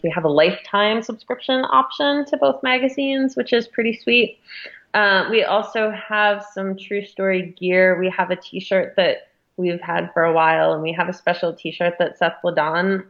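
A woman's voice: mid-range voice, a bit bright, dull audio. A woman being interviewed as an editor, speaking informally and thinking aloud as she goes. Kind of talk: casual interview conversation.

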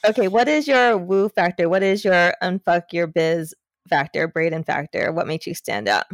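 A woman's voice: lowish voice, animated, normal speed, no room sound. Enthusiastic woman hosting a podcast, dry sound. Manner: gushing, enthusiastic, casual. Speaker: podcast host, coach. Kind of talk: casual podcast interview. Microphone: remote call.

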